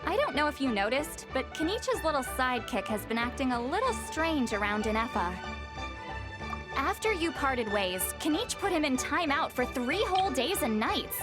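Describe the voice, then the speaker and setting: A woman's voice: high-pitched, big pitch swings, moderate pace. A wry, haughty young shaman. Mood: explaining. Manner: wry, lecturing, slightly haughty. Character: ancient-minded young shaman woman, proud and sharp-tongued. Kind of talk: video game dialogue. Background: game soundtrack music.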